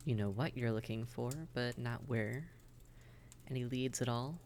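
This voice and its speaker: Gravelly, reassuring vampire innkeeper played by a man. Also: medium pitch, fairly even intonation, a little slow, darkish tone, slight background noise, lightly acted. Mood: friendly, teasing. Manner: chatty, reassuring, advising. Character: friendly, worldly old-hand vampire innkeeper, reassuring male. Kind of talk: roleplay audio drama, speaking directly to listener.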